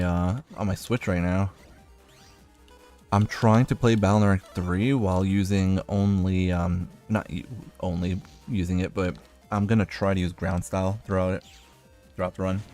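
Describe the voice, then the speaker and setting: A man's voice: on the low side, darkish tone. A man giving casual video game commentary as a streamer, rambling and thinking aloud as he plays.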